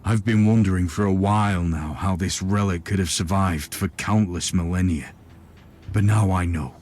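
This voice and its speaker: A man's voice: sits low, moderate pace, darkish tone, a little hiss or hum, close to an everyday voice. A man playing a stern, self-assured inquisitor in a video game, speaking in a curt, formal, imperious way. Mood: pensive. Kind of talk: dramatic game cutscene acting.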